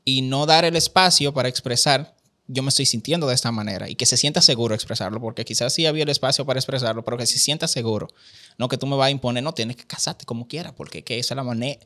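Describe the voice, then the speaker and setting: Bright-voiced man explaining casually. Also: mid-range voice, fast. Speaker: podcast guest. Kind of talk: casual conversational testimony.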